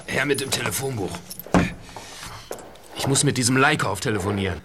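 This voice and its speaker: A man's voice: medium pitch, moderate pace, natural voice. A man playing an irate, imperious boss in a radio play. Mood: indignant. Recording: loud background noise and clatter.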